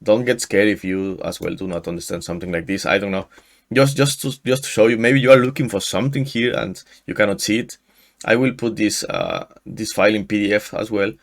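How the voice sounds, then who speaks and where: Mexican-accented man giving a software tutorial. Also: fairly low pitch, animated, vocal fry. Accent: Mexican Spanish. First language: Spanish. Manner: halting, frequent restarts and fillers. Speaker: tutorial host. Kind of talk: screen-share software tutorial. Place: small room indoors. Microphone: headset.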